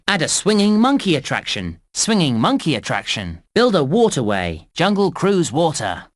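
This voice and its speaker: An actor playing a cheerful male park announcer, giving scripted narration and clear instructions to young players in a children's game. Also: mid-range voice, fairly bright tone, no echo, studio quality. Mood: bright, instructive. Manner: upbeat presenter delivery, clear instructions.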